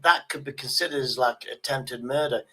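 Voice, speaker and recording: A man in his sixties talks casually on a livestream in a bright, forceful voice. He is emphatic and circles back to repeat his phrases for weight. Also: medium pitch, brisk, breathy edge. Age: 60s.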